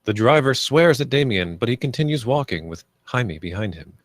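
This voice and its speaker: A man's voice: medium pitch, lively intonation, moderate pace, no room sound, studio quality. A man reading stage directions aloud as scripted narration.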